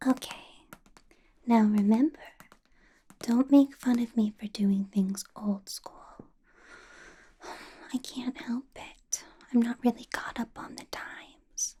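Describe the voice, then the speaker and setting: A woman voicing a doting, possessive vampire boss in a soft, breathy ASMR whisper, intimate and coaxing with quiet menace. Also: a little high, steady tone, takes it slowly, pauses often. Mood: tender, apologetic.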